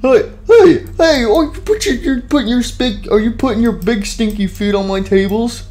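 A man's voice: high-pitched, a little slow, warm tone, a little hiss or hum. A man voicing a whiny, easily offended icy snow creature in a childish, stammering comic skit. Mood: outraged. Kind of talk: improvised comic character skit.

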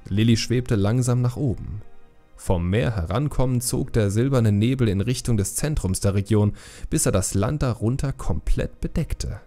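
A man's voice: medium pitch, moderate pace, mellow, faint music behind. A man reading scripted fantasy narration as an audiobook storyteller.

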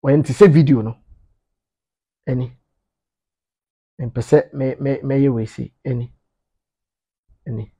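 A man's voice: medium pitch, steady tone, takes it slowly, pauses often, dark tone, husky. A man in his thirties with a Ghanaian accent, giving informal commentary to camera and emphatically repeating his phrases. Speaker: media commentator. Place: small indoor office.

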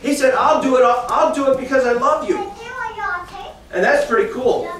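A middle-aged man teaching a children's Bible lesson, animated and talking straight to the kids, often repeating his phrases. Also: very high voice, normal speed, over music. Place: large classroom with kids seated. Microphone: far camera, no mic seen. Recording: echoey room from far off, kids chattering.

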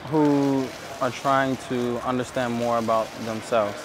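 A man from the States talks in a relaxed, curious way, asking questions in a mid-range voice. He is recorded by a far-off camera in a city square, with clear street noise behind him. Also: mid-range voice, measured pace. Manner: relaxed, probing questions, laughs easily. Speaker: dating coach. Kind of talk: casual chat. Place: outdoors in a busy city square. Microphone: far hidden camera.

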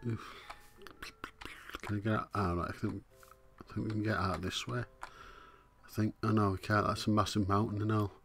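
A man with a hoarse, coughing voice, casually muttering his way through a video game as he plays. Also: on the low side, flat delivery, moderate pace, pauses often, a bit bright, faint music behind. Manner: muttering to himself, easygoing, frequent "right". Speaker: let's play host. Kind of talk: casual gameplay commentary.

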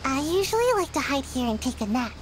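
A woman's voice: high voice, expressive, quick pace, slight background noise. Timid, sleepy ninja girl. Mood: drowsy. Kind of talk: video game character acting.

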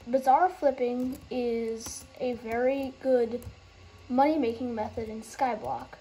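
A woman explaining a game trading method in a casual, halting way, losing her train of thought and starting over. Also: a little high, fairly even intonation, mellow, slight background noise, poor audio. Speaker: gaming tutorial host.

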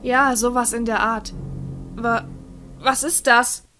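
An earnest, educated fantasy adventurer played by a woman speaks sensibly in a radio play. The voice is a little high, with music clearly behind it. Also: steady tone, slow pace, sharp tone. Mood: earnest, focused.

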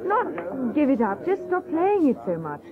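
Radio drama: practical wife and mother, high-voiced, composed, matter-of-fact, loud crowd behind. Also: very animated, measured pace, phone-quality sound, exaggerated voice. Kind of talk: radio play acting.

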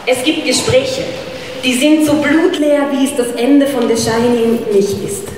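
A woman's voice: sits high, fairly even intonation, unhurried. A woman, performing spoken word poetry on stage with rhythmic, emphatic delivery. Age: 30s. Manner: rhythmic, emphatic, gesturing widely. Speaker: slam poet. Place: large dark stage hall with live audience. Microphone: stand microphone. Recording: echoey hall, distant mic, audience noise.

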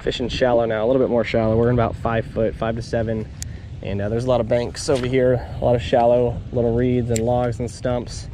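A man's voice: medium pitch, level tone, a little fast. A young man casually narrating a fishing trip from a small boat on a lake, talking in a laid-back way. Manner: laid-back, says "dude" and "bro". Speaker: fishing vlogger. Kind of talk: casual on-the-water commentary. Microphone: action camera on the boat. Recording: loud wind and boat noise outdoors.

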